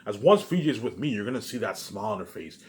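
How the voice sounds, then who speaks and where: Male online video maker, lowish voice, very quick delivery, looping unscripted rant that surges loud then sinks to a mutter. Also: sing-song. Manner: repetitive, circling back to same phrases; emphatic, swinging between loud bursts and low muttered asides. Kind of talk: rambling unscripted monologue to viewers.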